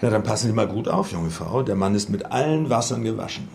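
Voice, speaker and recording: A man playing a genial, seasoned star lawyer in a radio drama, husky and gravelly, with a jovial, avuncular manner. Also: on the low side, animated, fast, darkish tone, a little hiss or hum. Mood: jovial, welcoming. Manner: jovial, avuncular, plain-spoken. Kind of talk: naturalistic German radio drama acting.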